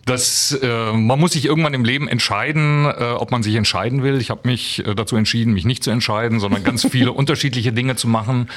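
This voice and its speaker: A man's voice: medium pitch, a little fast, few pauses, fairly bright tone. A man from Germany, a guest in a radio studio interview, speaking hesitantly with many ums and a wry tone. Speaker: guest, writer and musician.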